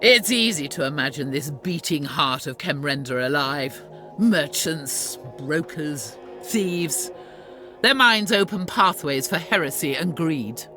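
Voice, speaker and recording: An actress voicing a devout, zealous warrior nun with a stern, commanding delivery. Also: female voice, deep voice, animated, takes it slowly, sharp tone, faint music behind, heavily acted. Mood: contemptuous. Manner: fervent, commanding. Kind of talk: video game cutscene voice acting.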